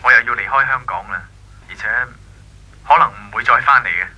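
A man's voice: medium pitch, fairly quick, bright, forceful tone, slightly rough, rough recording. A man playing a courteous, wistful young friend in a Cantonese radio drama, heard through a telephone filter, with a Hong Kong accent. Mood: wistful, resigned. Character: earnest, wistful young male family friend, courteous and reserved.

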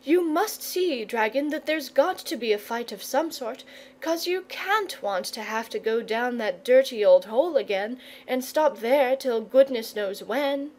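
A forthright young storybook boy, put on by a woman, high-voiced and impatient. He speaks bluntly and insistently, determined to be heard. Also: expressive, unhurried, a little mellow. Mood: urgent. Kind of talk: storybook character reading.

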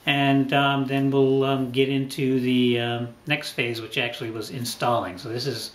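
A middle-aged man hosting a boat restoration channel, explaining his project in an unhurried way, thinking aloud with many ums and uhs. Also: medium pitch, little pitch movement, reverberant, a little hiss or hum. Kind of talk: casual talking-head explanation. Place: small indoor workshop corner.

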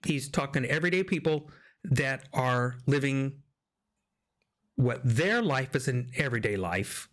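A middle-aged man with an American accent talks through faith in a casual discussion. His voice sits at an ordinary pitch, and he circles back over his phrases, leaning hard on key words. He speaks into a close desk mic in a small home studio. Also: lively intonation, a little slow. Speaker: discussion host. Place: small indoor home studio, video call. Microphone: desk microphone up close.

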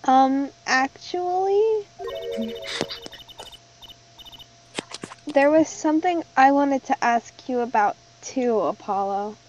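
A woman's voice: high-pitched, a little slow, a little mellow, lo-fi sound, a little hiss or hum. A woman playing a perky, naive teenage girl magician in a game fan dub, bubbly and eager. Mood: sympathetic.

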